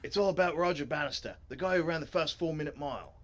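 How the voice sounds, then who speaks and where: A man's voice: on the high side, fast talker, voice pushed up, lightly acted. A man voicing a chummy, eager boy with an Australian accent and matey, slangy talk. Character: chummy boy with big feet who loves running.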